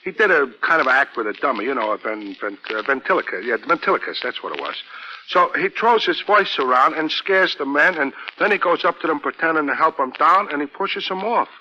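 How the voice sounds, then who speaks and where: Slangy New York cabbie, animated. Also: male voice, a little high, a little fast. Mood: gossipy, indignant. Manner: slangy, stumbling, comic. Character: dim-witted, good-hearted talkative male cab driver. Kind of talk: 1940s radio acting. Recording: muffled, noisy 1940s radio recording.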